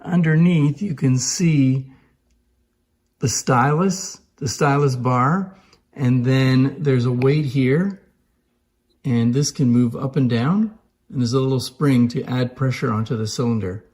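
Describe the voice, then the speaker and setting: The room echoes clearly around a man's medium-pitched voice. He explains things informally, like a presenter giving a hands-on demonstration. His delivery is conversational and appreciative. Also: takes it slowly, darkish tone. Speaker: presenter showing antique phonographs.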